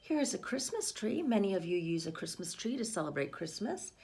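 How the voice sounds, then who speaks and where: A woman's voice: mid-range voice, sing-song, a little fast, darkish tone. A woman reading a picture book aloud to children, expressively, with asides and questions addressed to the young listeners. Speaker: read-aloud storyteller for children.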